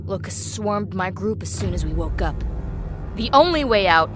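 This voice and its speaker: A woman's voice: mid-range voice, animated, normal speed, close to an everyday voice. A woman playing a tough, sardonic prisoner in an audio drama, blunt and sarcastic, with music behind her. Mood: defiant, urgent. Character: tough, sardonic female prisoner in a deadly game. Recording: music under the voice.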